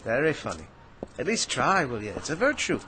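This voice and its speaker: An actor playing a sly, smooth-talking male salesman in a radio drama. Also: mid-range voice, a little fast, slight background noise. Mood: coaxing. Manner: wheedling, sly, lively.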